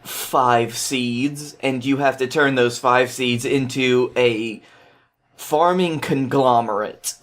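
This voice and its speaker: A man with a medium-pitched voice is a guest on a casual gaming podcast. He explains things earnestly, working through his points aloud in a conversational way. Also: slow, a bit bright. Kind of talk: casual explanatory chat about video games.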